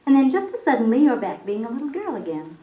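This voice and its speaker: A woman playing a gentle, reflective, affectionate mother in an old-time radio soap, speaking in a tender, musing, coaxing way. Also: a little high, very animated, fast. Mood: gentle, explaining. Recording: roomy vintage 78rpm radio recording.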